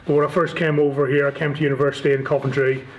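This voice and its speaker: Northern Irish man, even-toned and slightly high. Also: racing, lo-fi sound. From Northern Ireland. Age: 40s. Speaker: interview guest, rugby league club chief executive. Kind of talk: casual interview answers.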